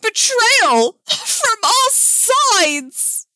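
A woman's voice: extremely high pitch, sing-song, extremely slow, sharp tone, no room sound, pitched above the performer's own voice, full character voice, poor audio. A woman voicing a hammy young man, a melodramatically outraged big brother in a story. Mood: dramatic betrayal.

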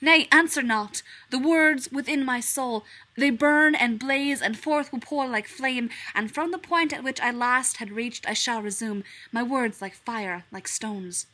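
Woman reader as anguished male prince; theatrical, loud verse. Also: fairly high pitch, a little slow. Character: melodramatic young male tragic prince.

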